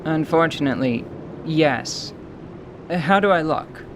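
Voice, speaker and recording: A man voicing a battle-worn, winged fantasy mercenary in an audio drama, stoic and wry, speaking in a dry, formal, deliberate way. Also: a little high, lively intonation, background noise. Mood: dry, matter-of-fact.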